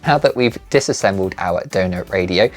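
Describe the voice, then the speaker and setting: Casting: male tutorial narrator, lowish voice, scripted step-by-step delivery, light background music. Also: animated, moderate pace. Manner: methodical, instructional. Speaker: maker channel presenter.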